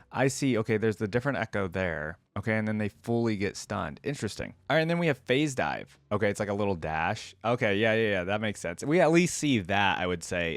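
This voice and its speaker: A man's voice: on the low side, no echo. A man giving casual reaction commentary on a video game, thinking aloud and reading descriptions out.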